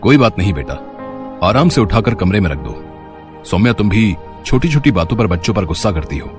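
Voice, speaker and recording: A man voicing a calm, kindly family father in a storybook tale, mild and reassuring. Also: lowish voice, normal speed, music in the background. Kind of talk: acted children's story dialogue.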